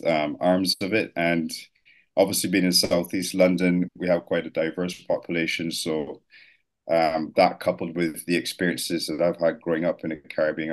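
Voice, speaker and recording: A man from Barbados, a doctor, answering interview questions politely and steadily over an earbud microphone. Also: deep voice. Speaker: doctor, urologist guest. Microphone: earbuds mic.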